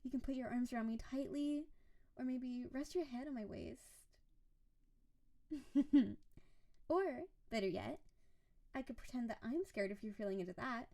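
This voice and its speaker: Casting: woman to play a yandere snow-spirit wife for intimate roleplay audio; high, soft-toned voice, sweet and clingy with quiet menace. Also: moderate pace, long pauses, lightly acted. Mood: affectionate, eager. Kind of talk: intimate scripted roleplay audio addressed to the listener.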